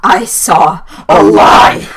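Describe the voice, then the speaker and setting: A woman's voice: extremely slow, a bit bright, soft background music. A woman voicing a small, bitter, volatile talking flower with theatrical outbursts in a fan comic dub. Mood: manic, hurt. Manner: theatrical outbursts, manic laughing.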